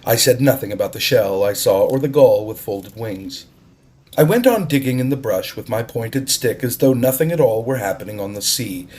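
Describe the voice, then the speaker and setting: A man's voice: medium pitch, a little mellow, breathy edge. A man reading a story aloud as an audiobook-style narrator. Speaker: audiobook reader.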